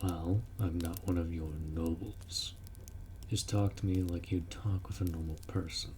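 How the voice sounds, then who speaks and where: A man's voice: low voice, steady tone, measured pace, dark tone, a little hiss or hum. A man voicing a blunt, protective orc warrior who speaks informally and firmly in a roleplay audio drama. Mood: casual, reassuring. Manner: blunt, informal, firm, instructing.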